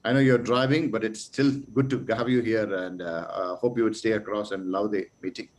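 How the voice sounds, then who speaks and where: A man's voice: fairly low pitch, steady tone, fairly quick. A man chairing an online speaking club meeting, hesitant with fillers, then giving an earnest motivational talk full of rhetorical questions.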